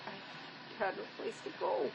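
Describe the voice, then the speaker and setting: A woman's voice: takes it slowly, dull audio, natural voice. An actress playing a wounded, despairing woman drifter in a drama, emotional, by turns pleading and defiant. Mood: sad, needy. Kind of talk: dramatic script performance. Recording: faint background noise.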